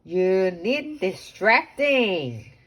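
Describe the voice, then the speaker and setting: A woman voicing a small, brave male platypus doctor from a children's storybook, calm and firm, speaking slowly and deliberately. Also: mid-range voice, pitched below the performer's own voice, full character voice. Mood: instructive. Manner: slow, emphatic, deliberate. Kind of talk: children's storybook read-aloud.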